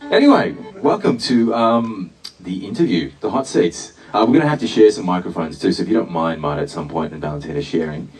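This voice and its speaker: Guy hosting a live stage panel, lowish voice, kinda far from the mic, music faint behind, lots of ums. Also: lively intonation, brisk, darkish tone. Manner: self-deprecating, hesitant, many fillers. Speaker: podcast host running a live panel. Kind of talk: live stage chat with audience guests.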